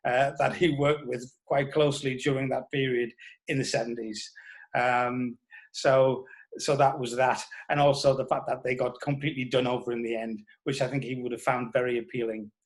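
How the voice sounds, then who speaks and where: A man with a mid-pitched voice giving an interview. He reminisces thoughtfully and haltingly, doubling back on words while he finds the phrase he wants. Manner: halting, reflective, repeating words as he searches. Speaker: interviewee, writer.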